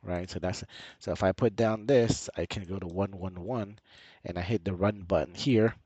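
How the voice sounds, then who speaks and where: Man, low pitch, slight cold, casual tutorial host, hesitant repetitive explanations, dry close sound. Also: steady tone, normal speed, warm tone, slightly rough, dry recording, lo-fi sound. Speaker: channel host and tutorial presenter. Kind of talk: casual screen-share tutorial narration.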